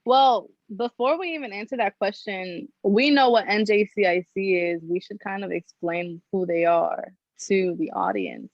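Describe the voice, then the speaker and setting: A woman's voice: medium pitch, animated, normal speed, dry recording, lo-fi sound. A young woman from New Jersey hosting a casual podcast chat, talking in a slangy, upbeat, joking way. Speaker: podcast host.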